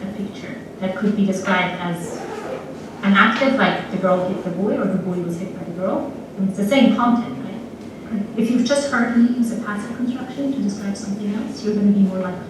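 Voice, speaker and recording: A woman's voice: mid-range voice, fairly quick. A woman from Switzerland with a Swiss German accent, a native Swiss German speaker, patiently explaining ideas step by step in an interview. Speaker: linguistics professor being interviewed. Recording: played-back video in an echoey room, distant, noisy.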